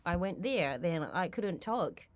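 Lively Japanese woman with a Kiwi tint. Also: lowish voice, takes it slowly, no room sound. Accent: Japanese, with New Zealand influence. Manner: lively, short replies and broken English. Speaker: radio co-host. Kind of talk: casual radio chat. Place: radio studio.

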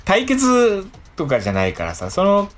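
A man hosting a casual podcast chat, explaining things in a hesitant, halting way with lots of softeners. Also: sits high, huge pitch swings, soft background music. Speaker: radio show host.

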